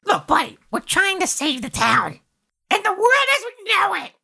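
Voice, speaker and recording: High-pitched goblin adventurer played by a woman, blunt, impatient, creaky, lively delivery, tabletop game. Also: moderate pace, heavily acted. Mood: impatient, pleading. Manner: blunt, informal, pushy questioning.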